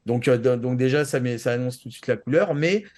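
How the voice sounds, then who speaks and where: A man's voice: mid-range voice, a little fast, darkish tone. A man chatting casually and giving his opinions as a panelist, rambling and often restarting his sentences. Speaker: panelist on an anime show. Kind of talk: casual chat giving opinions on manga and anime.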